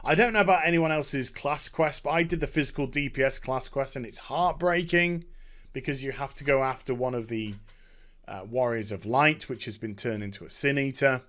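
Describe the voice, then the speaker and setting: A man talking casually and enthusiastically as a gaming video creator, recapping a story in an emphatic, halting, rambling way. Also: mid-range voice, fairly bright tone.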